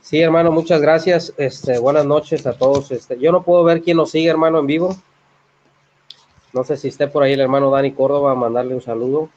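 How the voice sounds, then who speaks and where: A man's voice: on the high side, fairly even intonation, fairly quick, darkish tone. A man with a Mexican accent from Michoacán introducing a live-streamed Bible study politely, with many hesitant "este" fillers. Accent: Mexican Spanish, Michoacán. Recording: dull lo-fi online stream audio.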